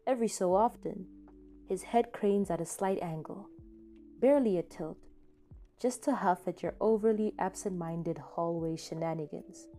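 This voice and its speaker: A woman's voice: fairly low pitch, moderate pace. A woman narrating a scripted second-person story as the reader of a podfic. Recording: soft music behind the voice. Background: soft background music.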